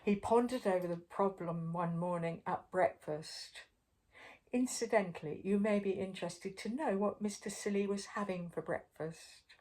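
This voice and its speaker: An older woman reading a picture book aloud to young children in a playful, expressive way, with chatty asides to the listener. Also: mid-range voice, normal speed. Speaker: storyteller.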